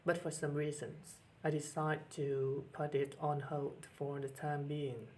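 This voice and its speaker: A man's voice: on the high side, little pitch movement, measured pace, darkish tone. Vietnamese-accented guy, middle-aged teacher, hesitant and sincere, lots of uh's, faint background hum. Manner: hesitant, earnest, many fillers and restarts. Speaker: teacher and channel host. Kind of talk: personal talk to camera.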